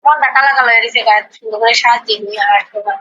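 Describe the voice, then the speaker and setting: A woman's voice: a little high, fairly even intonation, rapid-fire, sharp tone, a little hiss or hum. A woman chatting casually and intimately on a call, repeating herself insistently in colloquial speech. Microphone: phone video call. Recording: dull, lo-fi call recording.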